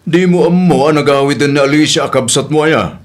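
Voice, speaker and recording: A man's voice: on the high side, a little fast, close to an everyday voice. A man with an Ilocano accent playing a teasing, gossipy married uncle in a radio comedy drama.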